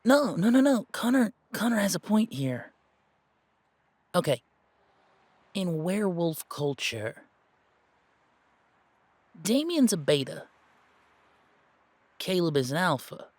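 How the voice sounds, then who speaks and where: A man acting an earnest werewolf pack member in an audio drama, reasoning aloud in an emphatic, halting, explanatory way. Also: high-pitched, very animated, dry recording, exaggerated voice. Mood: realizing, explanatory. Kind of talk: dramatic audio roleplay dialogue.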